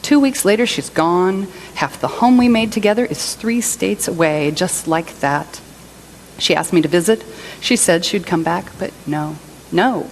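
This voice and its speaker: Female reader as self-pitying abandoned partner in a staged monologue, lower register, hurt and emphatic, noisy live recording. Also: measured pace. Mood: hurt indignation. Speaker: reader in a church service skit. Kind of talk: dramatic monologue reading.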